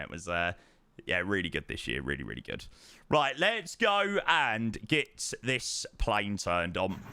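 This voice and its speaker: A young man chatting casually to his live-stream viewers, telling stories with lively, animated delivery and answering chat. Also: on the low side, smooth. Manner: chatty, animated storytelling, reads chat aloud. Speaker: flight sim streamer.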